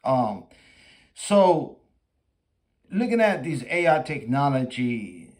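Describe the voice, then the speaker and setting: A man with a Spanish accent, hosting a livestream and chatting casually with an AI, halting and full of fillers. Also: medium pitch, sing-song, darkish tone. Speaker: livestream host. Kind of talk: casual chat with an AI.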